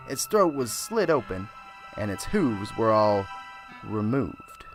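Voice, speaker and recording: A man playing a soft-spoken, pious small-town reverend who rambles earnestly, in an amateur radio drama, with faint music behind. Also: fairly low pitch, sing-song, slow pace. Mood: solemn. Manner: gentle, pious and wordy.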